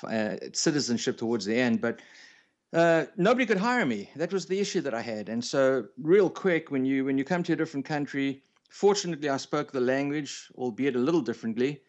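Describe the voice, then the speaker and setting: A man's voice: medium pitch, lively intonation, brisk, a little mellow. A man in his fifties with a South African accent, telling his story casually and good-humouredly as a radio guest. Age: 50s. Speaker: radio show guest, entrepreneur. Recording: slightly dull remote radio connection.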